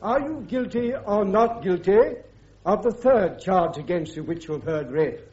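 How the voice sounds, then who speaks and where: Muffled radio drama audio, British court official, very high male pitch, courteous, measured, formal. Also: animated, darkish tone. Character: courteous, formal judge advocate at a military court. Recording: dull, band-limited radio drama recording.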